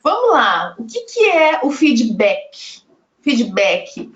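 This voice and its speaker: A woman from Brazil hosting a live web panel, chatting easily and reading audience comments aloud. Her voice sits a bit high. The call audio is roomy and echoey. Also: very animated, takes it slowly, muffled recording. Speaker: panel moderator. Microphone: video call.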